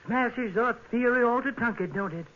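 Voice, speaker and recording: A man playing a folksy old American morgue attendant in a 1950s radio drama, drawling ungrammatically in a gruff but obliging way. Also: very high-pitched, normal speed, slight background noise. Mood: matter-of-fact. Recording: muffled vintage radio recording.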